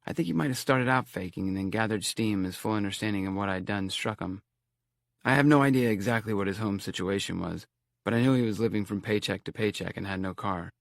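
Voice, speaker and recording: A man narrating a horror audiobook in first person, calm and reflective. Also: fairly low pitch, brisk, gravelly, no room sound, clean studio sound.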